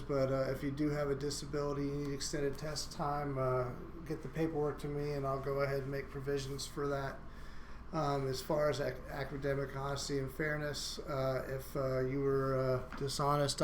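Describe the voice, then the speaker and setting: A male college instructor talks through his syllabus in a flat, monotone voice that barely rises or falls. He stumbles along with frequent fillers and restarts. The room rings with echo and carries a faint hum of ambience. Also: mid-range voice, creaky. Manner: halting, many "uh" fillers and restarts. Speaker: university instructor. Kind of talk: recorded online course syllabus walkthrough.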